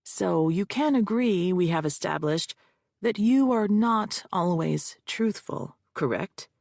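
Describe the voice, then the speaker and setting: A cold, needling male defense lawyer, read by a woman who grinds out every question in a low, gravelly voice. The sound is close and bone-dry, as in a studio audiobook reading. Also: expressive, takes it slowly, a little mellow, no room sound, exaggerated voice. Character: aggressive male defense lawyer cross-examining.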